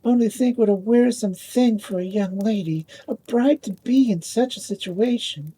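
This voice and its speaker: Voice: medium pitch, moderate pace, dark tone, breathy edge, pitched above the performer's own voice, lightly acted. A storyteller voicing a vain, prim young lady ball in a fairy tale, with an airy, self-regarding manner. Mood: plaintive, self-pitying.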